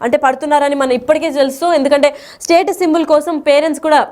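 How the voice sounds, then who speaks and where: A woman's voice: high voice, steady tone, fast, darkish tone. A woman explaining the news as a presenter, in a wordy, partly unscripted way with English terms mixed in.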